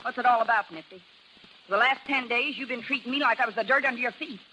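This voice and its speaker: A woman's voice: on the high side, fast talker, natural voice. An actress playing a bitter, jilted, scheming carnival showwoman with slangy, tough American talk, in 1930s radio drama style. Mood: hurt, complaining. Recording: noisy 1930s radio recording.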